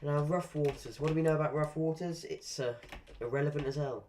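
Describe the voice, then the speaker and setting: A man's voice: on the high side, brisk, a little mellow, slight background noise. A man chatting casually while live-streaming a game, rambling through asides and swearing at the game now and then. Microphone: desk microphone.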